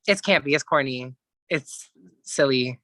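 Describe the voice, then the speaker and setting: Male guest musician, casual interview talk, bright crisp-edged tone, very dry sound, rambling and reflective delivery. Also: mid-range voice, unhurried, no echo. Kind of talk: casual chat, interview answers.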